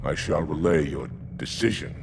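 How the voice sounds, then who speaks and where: An actor playing a dignified, stern alien commander in a video game, gravelly and formal, respectful but firm. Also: male voice, rumbling low, moderate pace, background noise, full character voice. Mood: restrained indignation. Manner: formal, deferential yet firm. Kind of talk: cinematic video game acting. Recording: loud music behind the voice. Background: loud dramatic music.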